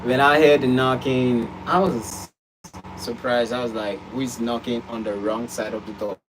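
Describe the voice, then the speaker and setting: A man's voice: medium pitch, moderate pace. Easygoing man, noisy recording. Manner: relaxed, hesitant, with ums and uhs. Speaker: vlog co-host. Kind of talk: casual storytelling. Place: at home, seated.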